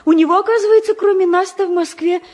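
An outspoken young woman in an old radio play. Her voice is very high, brisk and teasing. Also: darkish tone. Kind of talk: mid-century Soviet radio drama acting.

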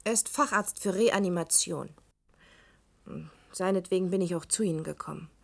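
An actress in a 1960s German radio drama playing a missing doctor's determined daughter, earnest and insistent. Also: female voice, fairly low pitch, moderate pace, gravelly. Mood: earnest, urgent.

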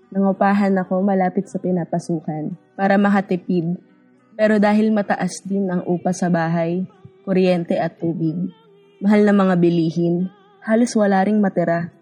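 A woman's voice: fairly low pitch, dark tone, close to an everyday voice. A woman playing a humble, weary young mother, narrating her story earnestly in a radio drama. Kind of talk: scripted first-person drama narration. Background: music bed.

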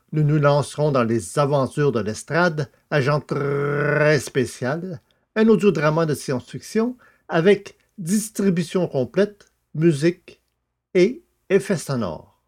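A man hosting a podcast and reading a novel aloud in a measured, deliberate way. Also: on the high side, darkish tone. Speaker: podcast host and narrator. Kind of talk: scripted audiobook narration.

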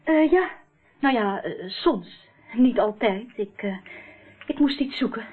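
An actress playing a nervous, evasive secretary in a radio play, hesitant and flustered. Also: female voice, a little high, expressive, takes it slowly, breathy edge, exaggerated voice. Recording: muffled narrowband old radio play recording.

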